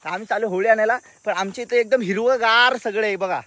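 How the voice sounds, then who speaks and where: A man's voice: sits high, fast talker, sharp tone, dry recording. A young man from Maharashtra, chatting casually as a vlogger in a playful, teasing way, tossing in slangy English catchphrases. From Maharashtra, India. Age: young adult. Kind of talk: casual vlog chat.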